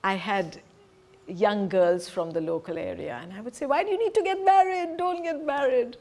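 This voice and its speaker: A woman's voice: on the high side, moderate pace, darkish tone. Middle-aged woman from Punjab, Hindi speaker. Earnest, emphatic group presenter. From Punjab, India. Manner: earnest, emphatic, stresses key words. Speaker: presenter and teacher. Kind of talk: reflective talk to a group.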